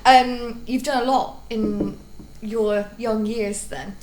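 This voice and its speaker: A woman's voice: a little high, steady tone, slow pace, a little mellow, roomy sound, slight background noise, low-quality recording. A woman in her thirties interviewing a guest in a friendly, chatty way. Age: 30s. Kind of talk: casual interview.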